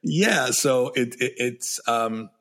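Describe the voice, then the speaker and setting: A man's voice: medium pitch, animated, slow, a bit bright. A man hosting a podcast in a conversational interview, speaking English as a second language after Spanish, often repeating words as he searches for them. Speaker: podcast host.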